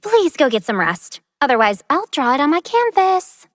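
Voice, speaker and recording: Very high-voiced playful young artist girl. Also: big pitch swings, normal speed, no echo, studio quality. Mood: coy. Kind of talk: video game character voice lines.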